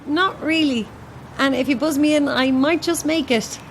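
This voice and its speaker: A woman's voice: high voice, moderate pace, slight background noise. A woman playing a flustered, self-dramatizing struggling actress who rambles theatrically to herself in an audio drama. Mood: polite, flustered. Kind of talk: modern audio drama acting.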